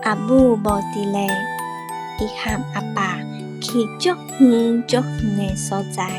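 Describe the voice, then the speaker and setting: A woman's voice: medium pitch, steady tone, slow. A woman with a Taiwanese accent reading a children's picture book aloud from a script. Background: loud tinkling children's music.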